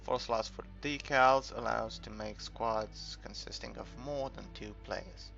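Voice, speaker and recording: Slow, gravelly man over muffled game commentary. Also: lowish voice, fairly even intonation, fairly bright tone. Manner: hesitant, rambling, thinking aloud. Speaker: gamer making a game tutorial. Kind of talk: casual unscripted game walkthrough commentary. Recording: muffled, dull recording with background music.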